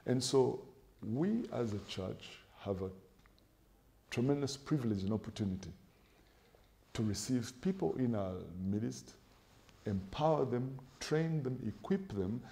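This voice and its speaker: A middle-aged man, a pastor, answering in a studio interview with a measured, emphatic preaching style, building points in lists and quoting scripture, on a lapel mic. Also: medium pitch, dark tone. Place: TV studio set with sofas.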